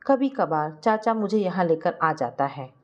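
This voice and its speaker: A woman's voice: on the low side, animated, unhurried, a little mellow, highs cut off. A woman reading a scripted family moral story as its narrator.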